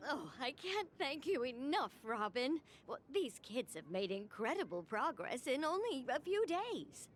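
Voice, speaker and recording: A caring, grateful guardian of children from a video game. Her fairly high voice is animated and effusive, with faint noise behind it. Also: a little slow, hardly any pauses. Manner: effusive, appreciative.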